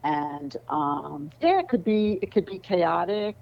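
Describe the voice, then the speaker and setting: A middle-aged woman from New City, a lawyer chatting casually as an interview guest, relaxed with many ums and laughs. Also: fairly low pitch, slow, creaky, highs cut off, a little hiss or hum. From New York City.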